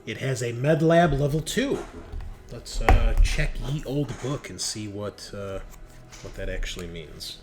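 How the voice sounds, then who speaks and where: A man's voice: mid-range voice, lively intonation, a little slow, music in the background. A man running a tabletop game as game master, casual and easygoing, thinking aloud as he narrates and makes rulings.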